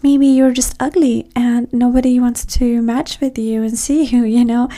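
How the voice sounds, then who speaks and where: A woman doing a soft-spoken, often whispered ASMR roleplay as a vain, flirty date with a breathy, sassy, condescending manner. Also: a little high, level tone, normal speed.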